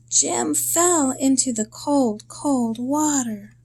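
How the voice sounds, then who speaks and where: A woman reading a children's story aloud in a deliberate, expressive way, in short phrases with pauses. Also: high voice, a little hiss or hum.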